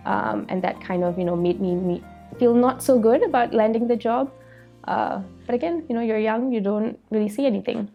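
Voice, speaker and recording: A woman's voice: on the high side, moderate pace, gravelly, muffled recording, soft background music. A woman in her thirties from Malaysia, a company founder speaking reflectively in a documentary interview, with frequent "you know" fillers.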